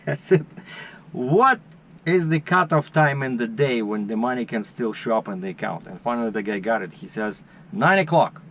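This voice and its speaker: A man talks casually from his truck cab, telling a long story and acting out the people on the phone. His voice sits at an ordinary middle pitch. A faint hum of vehicle noise runs behind him. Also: animated, moderate pace, fairly bright tone. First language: Russian. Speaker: truck driver vlogger. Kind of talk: casual chat.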